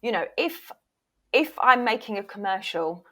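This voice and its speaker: A woman's voice: mid-range voice, lively intonation, moderate pace, fairly bright tone. A woman speaking as an interview guest, explaining things conversationally and emphatically. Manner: emphatic, often voices imagined questions from actors. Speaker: interview guest, voice reel producer and former voiceover agent.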